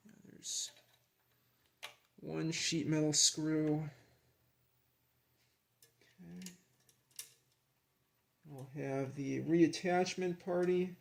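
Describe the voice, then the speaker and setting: A man casually narrating as he works with his hands, in a creaky voice, absorbed and admiring, dropping murmured asides. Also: on the high side, fairly even intonation, drawn-out words, pauses often. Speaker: hobbyist demonstrating a radio rebuild.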